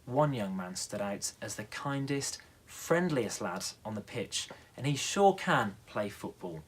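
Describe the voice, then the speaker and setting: A man's voice: on the low side, big pitch swings, measured pace, husky, heavily acted. A man voicing a kindly, encouraging children's football coach in a bedtime story. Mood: praising.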